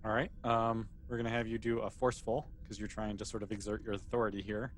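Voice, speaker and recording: A man running a tabletop game as game master, narrating casually and haltingly as he thinks aloud. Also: lowish voice, flat delivery, a little hiss or hum.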